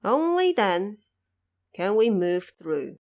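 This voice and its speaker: A woman acts out a solemn judge of the underworld in a fantasy audio drama. He speaks slowly and formally, with grave courtesy and quiet authority. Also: mid-range voice, big pitch swings. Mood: solemn, advising.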